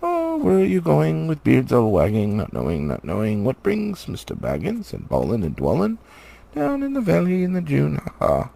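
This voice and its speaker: Playful storybook elf acted by a man: raised pitch, swooping singsong delivery, teasing. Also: huge pitch swings, moderate pace, gravelly, dark tone, exaggerated voice. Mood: merry singing. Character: merry, teasing, singing male elves of the woods. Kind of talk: storybook character voice.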